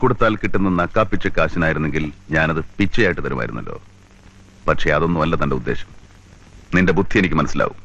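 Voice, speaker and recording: A man's voice: rumbling low, animated, fast talker, vocal fry, a bit bright, muffled recording, exaggerated voice. An actor playing a scornful, confrontational man in a film, speaking emphatically. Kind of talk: film dialogue.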